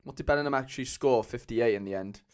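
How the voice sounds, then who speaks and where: A young man with a Cardiff accent chatting casually as a livestream host, loose and rambling with lots of fillers, speaking into a mic on a boom arm at home. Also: fast, darkish tone, clean tone. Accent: Cardiff Welsh. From Wales. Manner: chatty, loose, many fillers, calls viewers lads.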